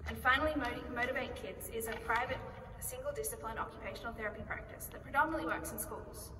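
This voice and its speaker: A woman with an Australian accent giving a rehearsed conference pitch, speaking in a clear and measured way and posing rhetorical questions. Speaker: company founder pitching. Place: large conference hall. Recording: echoey hall recorded from far off, noisy.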